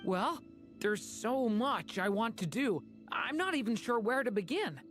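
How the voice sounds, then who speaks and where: A woman playing a polite, earnest, gentle young boy in a video game. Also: on the high side, sing-song, unhurried, soft background music. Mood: eager, curious. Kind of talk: video game character acting.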